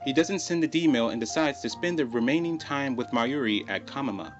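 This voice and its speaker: A man's voice: medium pitch, lively intonation, brisk, highs cut off, soft background music. A man hosting a video, giving an informal, conversational explainer commentary and repeating phrases for emphasis. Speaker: video host.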